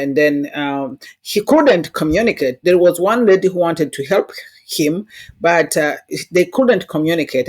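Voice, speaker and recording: A woman with a Rwandan accent giving conversational interview answers and anecdotes in an earnest, instructive way, with non-native phrasing. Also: lowish voice. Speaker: interview guest, diversity consultant.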